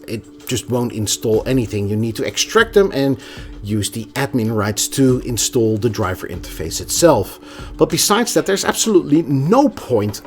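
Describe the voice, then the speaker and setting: A man presenting a product review in a conversational, emphatic way, occasionally stumbling over a word. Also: mid-range voice. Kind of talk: scripted product review with casual asides.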